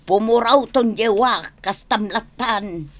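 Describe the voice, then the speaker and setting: A woman's voice: on the high side, slow. A woman with an Ilocano accent playing a meddling, admonishing elder mother in a radio comedy drama. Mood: chiding.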